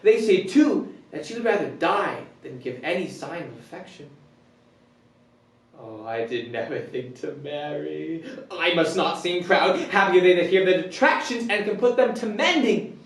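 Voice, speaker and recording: A man's voice: a little high, lively intonation, moderate pace. A man performing a proud bachelor giddy at being loved, in a theatrical Shakespearean comic monologue, declamatory with abrupt swings between musing and exclaiming. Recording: roomy echo, distant microphone.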